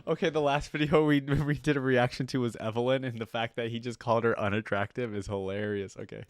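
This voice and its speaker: A man's voice: mid-range voice, fairly even intonation, quick pace. A young man hosting a reaction video, commenting casually with informal, slangy, impressed asides.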